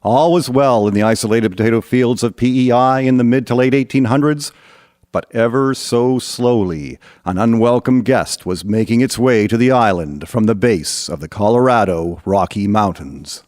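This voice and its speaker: A man's voice: medium pitch, normal speed, a little mellow, no room sound, clean studio sound. A man narrating a scripted historical radio drama in a storytelling style.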